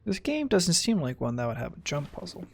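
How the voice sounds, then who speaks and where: Creaky-voiced streamer, lively and playful. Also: medium pitch, brisk. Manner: playful, mutters asides to self. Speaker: game streamer. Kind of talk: casual gameplay commentary.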